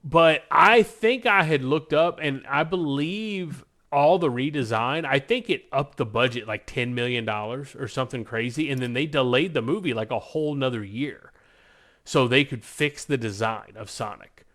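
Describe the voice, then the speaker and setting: A man's voice: mid-range voice, normal speed, a bit bright. Enthusiastic man in casual podcast chat. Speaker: podcast co-host.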